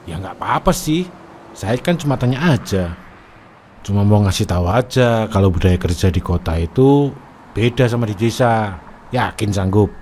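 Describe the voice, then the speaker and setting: A man's voice: on the low side, lively intonation, moderate pace, a little hiss or hum, lightly acted. A man voicing an arrogant, stingy middle-aged husband who owns a food stall and bullies his workers. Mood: condescending. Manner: blustering, indignant, shouting. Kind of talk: cartoon drama voice acting.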